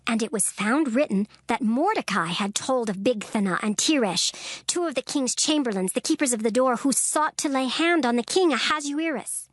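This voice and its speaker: A woman narrating a dramatized scripture recording in a measured, solemn storytelling manner. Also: on the high side, animated, no room sound, clean studio sound. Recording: narration over clear background music. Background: background music.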